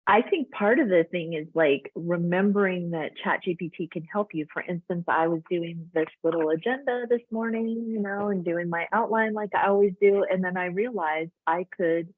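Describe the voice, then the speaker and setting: A woman's voice: lowish voice, normal speed, hardly any pauses, no echo. A woman coaching host running a casual webinar, talking in loose, chatty run-on sentences over a video call. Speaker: life coach hosting a webinar. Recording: thin phone-like audio, dry.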